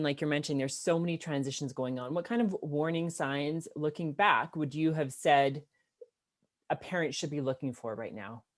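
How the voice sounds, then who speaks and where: A woman co-hosting a podcast, asking measured, thoughtful questions with occasional fillers. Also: deep voice. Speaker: podcast co-host. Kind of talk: interview questions and reflections.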